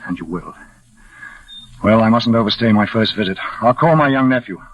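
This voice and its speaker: Man acting a husky, philosophical older blind man. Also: on the low side, natural voice. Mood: calm, reassuring. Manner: measured, reflective, reassuring. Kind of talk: 1940s radio drama acting. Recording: muffled old 1940s radio recording.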